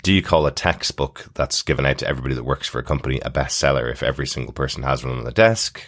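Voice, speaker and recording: Male podcast co-host with a very deep bass voice. Chatty, thinking-aloud delivery for informal episode discussion. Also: expressive, racing, fairly bright tone. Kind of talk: casual TV recap discussion.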